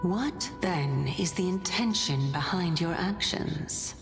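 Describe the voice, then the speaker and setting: Leisurely, teasing goddess, long reverb. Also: female voice, lowish voice, slow. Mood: amused musing. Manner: playful, theatrical, leisurely, musing. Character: teasing, curious female deity of reason living in a man's mind. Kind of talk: video game voice acting. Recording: long reverb, music underneath.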